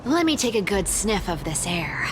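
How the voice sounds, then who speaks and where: An actress playing a sly, haughty, cat-like demigoddess thief in a video game, theatrical, bossy and greedy for treasure. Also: female voice, medium pitch, very animated, normal speed, background noise, lightly acted. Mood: gleeful, greedy. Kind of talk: video game character acting. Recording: game music behind the voice.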